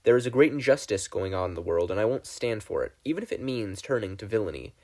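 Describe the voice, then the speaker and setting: A man's voice: on the low side, lively intonation, fast talker, a little mellow. A man voicing a defiant young villain who preaches earnestly and challengingly in a video message, for a story reading.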